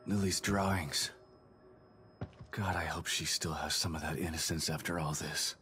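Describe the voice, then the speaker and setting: An actor playing a weary, guilt-ridden middle-aged father in a video game drama, brooding and halting. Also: low voice, a bit bright, slight background noise.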